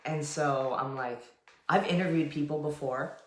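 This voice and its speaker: Low-voiced woman host, echoey room. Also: normal speed. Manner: warm, hesitant, many "um"s. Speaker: podcast co-host, interviewer. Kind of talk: casual interview.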